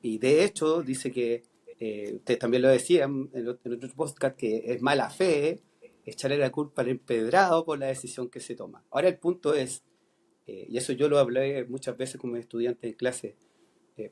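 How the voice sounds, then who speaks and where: A man's voice: medium pitch, normal speed, darkish tone. A middle-aged Chilean man with a Chilean accent, a philosophy teacher talking on a podcast, thoughtful and full of hesitations and restarts. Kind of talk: podcast conversation.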